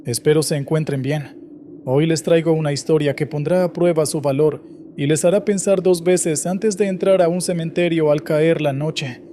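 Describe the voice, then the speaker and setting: A man reading scripted first-person horror stories in a steady, measured way. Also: a little high, a little hiss or hum. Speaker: horror story narrator.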